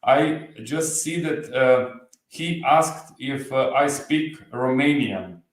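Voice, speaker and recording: A man in his forties from Slovenia, a native Slovenian speaker, chatting as a livestream guest and pausing often to find his English words. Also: mid-range voice. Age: 40s. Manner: halting, searching for English words. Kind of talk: casual livestream chat. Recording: roomy echo.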